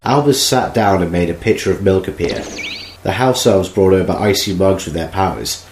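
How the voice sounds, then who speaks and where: A man narrating an amateur audio drama in a plain, even storytelling manner. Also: deep voice, moderate pace, echoey room, slight background noise, rough recording. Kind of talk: scripted narration.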